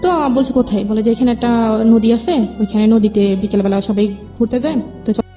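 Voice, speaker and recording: A woman's voice: on the high side, steady tone, a little fast. A young woman with a Bangladeshi accent tells her life story as an interview guest, in colloquial speech with reported dialogue. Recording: dull lo-fi sound with music underneath. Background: background music.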